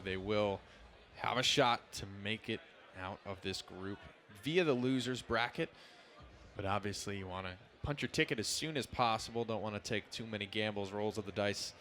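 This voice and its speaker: A man's voice: lowish voice, fairly bright tone, a little hiss or hum. A man co-casting a live esports match in an expo hall, with casual, wry asides. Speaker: esports caster.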